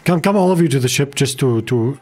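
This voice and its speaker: A man's voice: medium pitch, animated, fairly quick, a little mellow. Guy who speaks arabic natively, streaming a game, chatty and teasing, bit of music in the back. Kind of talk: casual gaming commentary. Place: small indoor room, streaming setup.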